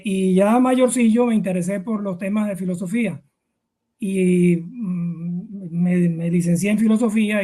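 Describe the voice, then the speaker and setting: A man's voice: high voice, mellow. A middle-aged man with a Venezuelan accent, explaining things informally as an interview guest, hesitant and full of fillers and repeated words.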